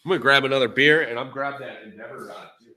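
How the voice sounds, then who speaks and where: A man hosting a podcast, talking casual shop in a laid-back, halting way, thinking aloud as he goes. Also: medium pitch, sharp tone. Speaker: podcast host. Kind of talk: casual shop talk on a livestream.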